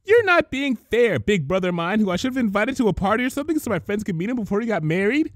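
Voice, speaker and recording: A man's voice: high voice, sing-song, fast, dry recording, studio quality, pitched above the performer's own voice. A man voicing a bookish young female cartoon unicorn pony, earnest and precise in diction. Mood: reproachful.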